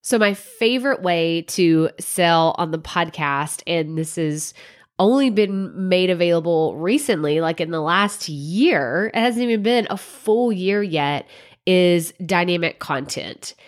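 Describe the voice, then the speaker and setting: A woman's voice: lowish voice, unhurried. Congested woman hosting a podcast. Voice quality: nasal and stuffy. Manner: chatty, conversational, with fillers like "like" and "um". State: congested from a cold. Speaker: podcast host. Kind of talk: solo podcast talk.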